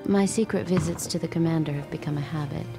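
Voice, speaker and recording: An actress playing a subdued, wary young handmaid who also narrates, in a restrained audio drama style. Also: female voice, low voice, fast talker, over music. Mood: confiding narration. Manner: guarded, restrained.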